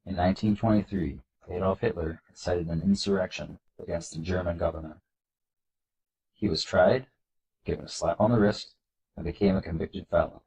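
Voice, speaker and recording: A man with a congested, nasal voice from a cold, hosting a video and reading slides aloud in an earnest, measured way. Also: low-pitched, steady tone, gravelly, darkish tone, low-quality recording. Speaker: political video host.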